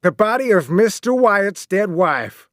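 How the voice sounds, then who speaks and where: A man voicing a stern ship captain who shouts orders during a shipwreck, then explains things plainly. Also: sits high, very animated, measured pace, dry recording, studio quality, voice pushed up. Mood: explaining.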